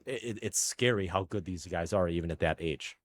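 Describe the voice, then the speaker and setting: Man, Filipino, emphatic and excited, low-pitched voice. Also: fairly quick. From United States. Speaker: tennis coach and channel host. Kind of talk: casual chat.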